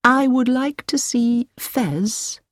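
A woman's voice: medium pitch, measured pace, a little mellow, breathy edge, no room sound, studio quality, pitched above the performer's own voice. A woman voicing a guarded young woman who answers carefully and politely in an audiobook.

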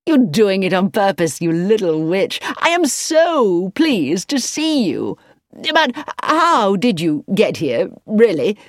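A woman's voice: mid-range voice, sing-song, slow pace, dry recording, studio quality, voice pushed up. A woman voicing a cheerful, admiring young man who exclaims eagerly.

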